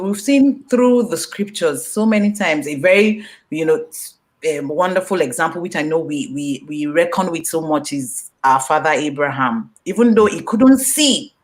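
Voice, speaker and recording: A woman whose first language is Yoruba, answering questions as an author at a book launch, animated and laughing, with many fillers. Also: low voice. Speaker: author being interviewed.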